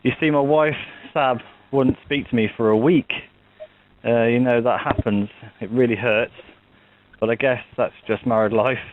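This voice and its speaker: A man on the phone who talks in a halting, hesitant way, full of ums and apologies. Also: mid-range voice, fairly even intonation, slight background noise. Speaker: prank caller. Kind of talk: phone prank call. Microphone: telephone. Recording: tinny phone line.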